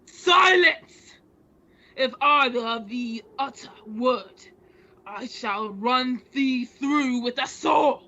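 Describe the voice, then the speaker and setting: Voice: high-pitched, takes it slowly, pushed and bright, full character voice. An actor playing a stern, vengeful old Puritan ghost in a radio drama, with solemn, archaic, commanding speech. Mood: commanding, threatening. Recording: dull lo-fi audio, background ambience noise.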